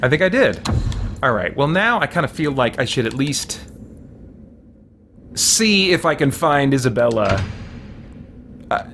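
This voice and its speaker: A man's voice: medium pitch, big pitch swings, measured pace, pauses often, slight background noise. A man doing casual commentary while streaming a role-playing game. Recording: loud game music behind. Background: game music.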